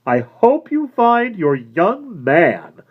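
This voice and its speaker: A man doing a sleek, smarmy florist. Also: a little slow. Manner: smooth and ingratiating, turning shrill when excited. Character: sleek, fussy, condescending male florist. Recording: dull lo-fi recording.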